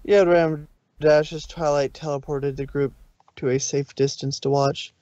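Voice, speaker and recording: A man reading the narration of a fanfic aloud in a steady storytelling manner. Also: a little high, unhurried. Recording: dull, lo-fi stream audio.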